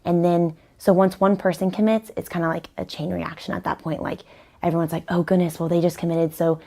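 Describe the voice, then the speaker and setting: A woman's voice: lowish voice, brisk, gravelly. A young woman, a student soccer player, talking casually with many fillers in an interview. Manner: casual, flat, many likes.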